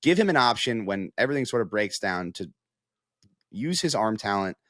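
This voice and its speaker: A man's voice: on the low side, big pitch swings, moderate pace, fairly bright tone, no room sound, studio quality. A man speaking as a guest sports analyst, explaining things in a casual, conversational way.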